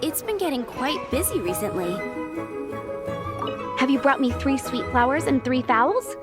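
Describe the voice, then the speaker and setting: Flustered young restaurateur, bright high voice, lively. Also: female voice, a little slow. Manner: earnest, flustered, businesslike. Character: young woman running a busy restaurant, harried but kind. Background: game music.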